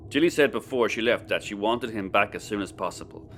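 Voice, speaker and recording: A man's voice: on the low side, fairly quick, a bit bright, close to an everyday voice. An actor playing a serious, worried spaceship crewman in a sci-fi audio comedy, plain and urgent. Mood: worried urgency. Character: serious, worried male spaceship crew member. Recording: faint background noise.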